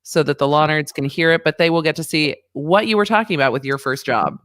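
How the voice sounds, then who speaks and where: A woman hosting a casual livestream chat, lively and encouraging as she talks with her guest over a video call microphone. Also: sits low, fast talker, dry recording, studio quality. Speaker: host.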